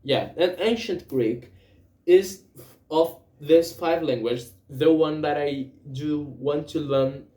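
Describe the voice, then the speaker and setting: Slow, hesitant Brazilian man. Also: on the high side, warm tone, echoey room. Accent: Brazilian Portuguese. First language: Portuguese. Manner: hesitant, many fillers and self-corrections. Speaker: vlogger. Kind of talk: casual spoken journal, language practice.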